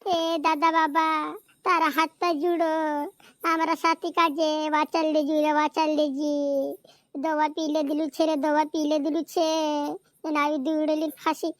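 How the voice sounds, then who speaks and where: Distraught cartoon villager played by a man, very high flat pitch, rural Madhya Pradesh accent, repetitive pleading. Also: takes it slowly, no echo, clean studio sound, exaggerated voice. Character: pleading, distraught rustic villager, friend of a patient. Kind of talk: amateur cartoon comedy acting.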